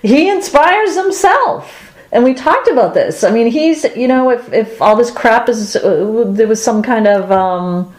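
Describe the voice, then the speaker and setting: A woman's voice: on the high side, expressive, measured pace, hardly any pauses, darkish tone. A woman from Boston co-hosting a podcast in casual chat. She agrees often and talks with many fillers and restarts. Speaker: podcast co-host. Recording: echoey room.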